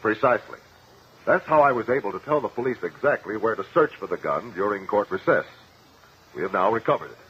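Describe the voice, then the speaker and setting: An actor playing a shrewd, confident courtroom investigator in a 1950s radio drama, speaking formally and precisely. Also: male voice, fairly low pitch, animated, a little fast, natural voice. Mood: confident, explanatory. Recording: muffled, noisy old radio recording.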